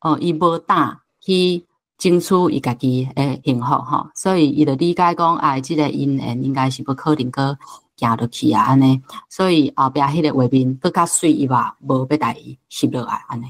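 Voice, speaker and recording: A woman's voice: very deep voice, moderate pace. A woman with a Taiwanese accent giving reflective, unscripted commentary as a guest, with frequent hesitations and trailing particles. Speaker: book club host, guest speaker.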